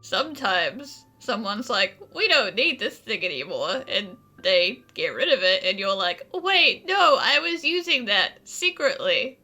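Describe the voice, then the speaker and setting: A woman's voice: mid-range voice, big pitch swings, measured pace, hardly any pauses, sharp tone. A woman giving casual, sarcastic commentary as she reacts to a video game. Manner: wry, sarcastic. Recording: game music behind the voice.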